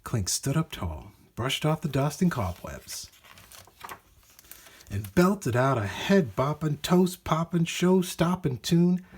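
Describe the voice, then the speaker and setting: A man reads a children's story with theatrical emphasis. His voice is slightly rough-edged and husky, and his pitch moves up and down freely as he stresses key words. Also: slow pace. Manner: expressive, dramatic emphasis. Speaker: storybook reader. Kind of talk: animated scripted storybook narration for children.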